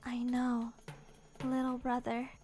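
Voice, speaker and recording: A woman playing a caring, supportive older sister with a gentle, reassuring manner in an audio drama reading. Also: a little high, completely flat delivery, takes it slowly, loud music behind the voice. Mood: tender.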